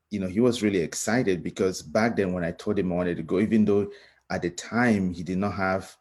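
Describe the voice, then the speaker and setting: A man from Cameroon with a Cameroonian accent, giving a reflective personal talk as a guest speaker in a halting, thoughtful, earnest manner. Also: low voice, a little mellow, husky.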